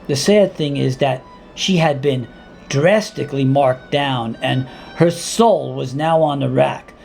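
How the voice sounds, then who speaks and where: Slow, gravelly man performing spoken word. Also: mid-range voice, darkish tone, faint music behind. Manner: deliberate, with weighty pauses and repeated words. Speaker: writer reading his own monologue. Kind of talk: spoken-word dramatic monologue.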